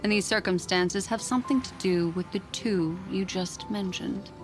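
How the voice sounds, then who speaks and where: An actress playing a composed, enigmatic woman in a video game, calm, deliberate and knowing. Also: medium pitch, close to an everyday voice. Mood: grave, measured. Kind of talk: dramatic video game voice acting. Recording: game dialogue over clear background music.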